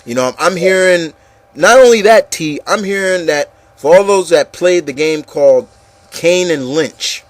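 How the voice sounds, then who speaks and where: A man's voice: fairly high pitch, lively intonation, unhurried, no echo. A man hosting an opinion show, chatting informally about movies and addressing the listeners in a casual, opinionated way.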